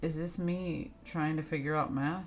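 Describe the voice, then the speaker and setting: A woman's voice: low voice, fairly even intonation, normal speed, faint music behind. A woman giving casual, reactive live commentary as she streams a game, talking informally.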